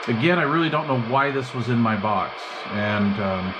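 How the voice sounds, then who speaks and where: Male hobbyist host, medium pitch, unscripted and slangy, thinking out loud, clearly noisy recording with radio hiss behind. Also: expressive, moderate pace. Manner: rambling, thinking aloud, slangy. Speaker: hobbyist host demonstrating and testing an old CB radio. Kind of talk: casual unscripted bench-test chat. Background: CB radio receiver hiss and chatter.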